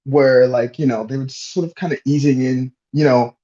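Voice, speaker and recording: A man's voice: medium pitch, steady tone, moderate pace, warm tone. A man chatting casually as a podcast panelist, slangy and sweary, heard through a somewhat lo-fi microphone. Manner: slangy, sweary, says "bro" and "you know" often. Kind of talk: casual chat.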